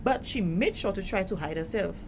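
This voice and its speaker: A proud, wounded young Caribbean woman played by an actress in an audio drama. Her conversational delivery is emphatic and indignant, with a very lively pitch, over noticeable background noise. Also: brisk, lightly acted. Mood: exasperated.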